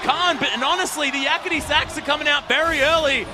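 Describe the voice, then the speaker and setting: A man doing live esports play-by-play as a commentator, excited and shouting at the big plays. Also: very high voice, fast. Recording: loud music and crowd behind voice. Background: music, cheering crowd.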